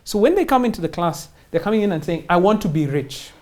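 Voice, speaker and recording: A woman's voice: fairly low pitch, expressive, fast talker, a little mellow. A woman speaks as a finance instructor in conversation. She explains step by step with emphasis, setting up questions and then answering them. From Kenya or wider Africa. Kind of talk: explanatory interview talk.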